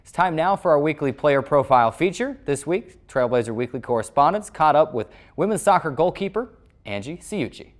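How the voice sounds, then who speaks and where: A man's voice: on the high side, lively intonation, brisk. A man with an American accent presenting a sports show in a scripted announcer style, as its host. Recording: music playing under the voice. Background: background music.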